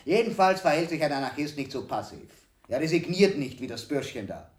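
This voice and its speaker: A man's voice: a little high, expressive, a little fast, natural voice. Sneering, quarrelsome anarchist played by a man, echoing. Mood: scornful. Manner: sneering, combative. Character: quarrelsome, scornful male anarchist, a sneering bully. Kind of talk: German radio crime drama acting.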